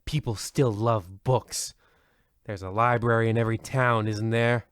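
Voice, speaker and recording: A man's voice: lowish voice, fairly even intonation, a little slow, smooth, no room sound, studio quality, natural voice. A man playing a weary, formal host of a spooky old-time radio comedy show, with theatrical delivery and dejected asides. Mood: solemn, wry.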